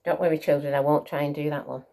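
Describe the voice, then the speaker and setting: A woman's voice: low voice, fairly even intonation, quick pace, gravelly, dark tone. A woman reading a picture book to young children, with encouraging asides and questions to her listeners. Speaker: children's storyteller.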